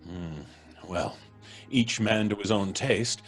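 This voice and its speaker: An actor playing a genteel, moralising older professor in old-time radio horror style, husky, fussy and stammering. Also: male voice, on the low side, takes it slowly, faint music behind. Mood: dubious.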